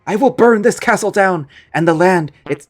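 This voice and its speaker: A man's voice: fairly high pitch, expressive, unhurried, faint music behind. A man voicing an arrogant male magus spirit who speaks in archaic, haughty declarations. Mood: enraged.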